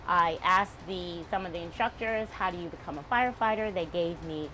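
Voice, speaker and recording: A woman firefighter recounting how she learned to join, eager and animated. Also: lowish voice, a little fast, a little hiss or hum, low-quality recording. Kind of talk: interview testimonial. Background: music.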